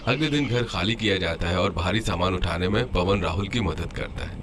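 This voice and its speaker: A man's voice: low-pitched, brisk. A man narrating a scripted family story as the storyteller. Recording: clear background noise under voice.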